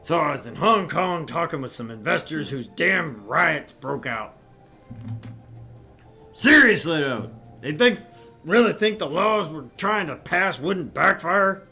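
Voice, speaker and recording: A man's voice: fairly high pitch, expressive, unhurried, pushed and bright, faint music behind, heavily acted. A man voicing a brash, boastful older businessman in a visual novel, with a blustery, sardonic and condescending way of talking. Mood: sardonic, ranting. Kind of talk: visual novel read-aloud.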